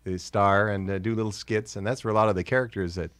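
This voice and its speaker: A man's voice: on the low side, steady tone, fast talker, a bit bright, no room sound. An American man, a musician, chatting casually and jokingly in an interview.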